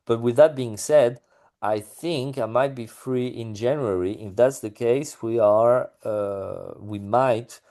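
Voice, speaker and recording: A man's voice: medium pitch, slow pace, dark tone. A man being interviewed about his music, talking casually with many fillers and restarts.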